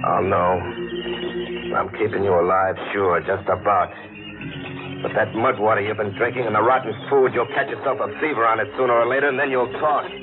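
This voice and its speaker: A man acting a hard-boiled adventurer from an old radio thriller, worn down by fever and danger. He talks tough and terse in a low male voice, over loud music on a tinny vintage broadcast. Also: steady tone, a little fast, lightly acted. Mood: menacing.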